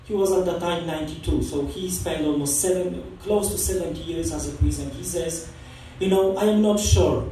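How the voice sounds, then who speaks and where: A Polish-accented priest in his forties preaches in a relaxed, storytelling way, his voice warm and somewhat high. He sounds distant from the mic, with the boom of a large church around him and a faint hiss underneath. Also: normal speed, hardly any pauses. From Poland. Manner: chatty and anecdotal, laughing often. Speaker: priest giving the homily. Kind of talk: informal homily. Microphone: far camera across the church. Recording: echoey church from far off.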